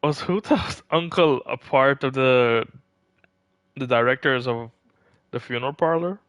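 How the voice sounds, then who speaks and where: Slow-talking male game streamer, dry sound. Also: medium pitch, fairly bright tone, no room sound. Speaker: livestreamer. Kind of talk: casual reaction commentary over a game.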